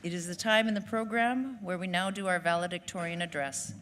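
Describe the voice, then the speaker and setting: A woman hosting a graduation ceremony, making announcements in a relaxed, joking way on a stage mic. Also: lowish voice, brisk. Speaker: ceremony host. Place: large theater hall. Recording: reverberant hall with faint noise.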